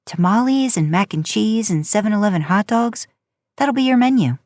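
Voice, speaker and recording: A woman's voice: mid-range voice, animated, normal speed, a little mellow, no room sound, clean studio sound, natural voice. Woman as cheeky little brother in a story.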